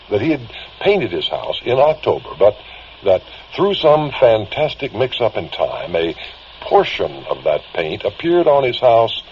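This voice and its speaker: An actor playing an earnest amateur investigator, narrating uncanny anecdotes in a measured, reflective radio drama style. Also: male voice, mid-range voice. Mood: reflective, speculative. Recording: dull, slightly noisy vintage radio recording.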